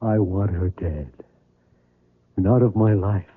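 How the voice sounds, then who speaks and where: An actor playing a struggling, obsessed novelist in an old-time radio drama, with earnest, intense, halting and pleading delivery. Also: male voice, sits low, slightly rough, full character voice. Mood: anguished, obsessed. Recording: muffled vintage radio broadcast.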